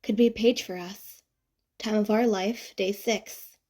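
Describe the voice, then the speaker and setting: A woman reading fan fiction as an audiobook narrator, expressive and conversational with playful emphasis. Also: medium pitch, unhurried. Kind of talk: expressive scripted story narration.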